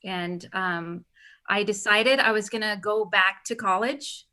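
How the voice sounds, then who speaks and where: A woman's voice: medium pitch, a little slow, bright, forceful tone. A woman in her forties, a guest performer chatting casually with the hosts on a live stream. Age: 40s.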